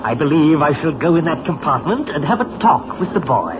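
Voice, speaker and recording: An actor playing a cunning criminal mastermind in a 1940s radio serial, speaking formally and precisely with cool authority. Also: male voice, fairly high pitch, very animated, brisk. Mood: cool, commanding. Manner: formal, precise, coolly imperious. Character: smooth, cunning, commanding male criminal mastermind. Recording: muffled, very noisy vintage radio recording.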